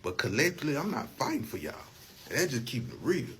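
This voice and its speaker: A man's voice: mid-range voice, big pitch swings, fast, fairly bright tone, slight background noise. An American man giving an impassioned, informal rant, emphatic and colloquial, repeating himself and posing rhetorical questions. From United States.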